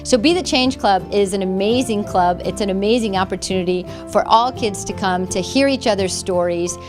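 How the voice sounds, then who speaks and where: A woman's voice: mid-range voice, normal speed. A woman speaking as a club advisor, explaining a program in a promotional interview style. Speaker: social worker and student club advisor. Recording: music playing behind the voice. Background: music.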